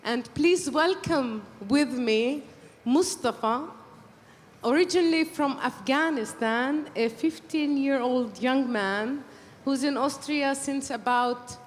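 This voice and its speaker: A woman moderating a conference panel in a formal, measured way, pausing now and then with fillers. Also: high-pitched. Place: conference hall. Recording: reverberant hall with faint ambience.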